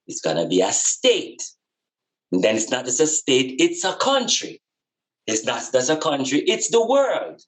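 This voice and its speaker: A man's voice: medium pitch, sing-song, measured pace, poor audio. A man talking casually and reflectively about life in an earnest, emphatic way, repeating phrases for emphasis. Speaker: podcast guest speaker on spirituality and community. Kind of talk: casual reasoning, reflective spiritual talk.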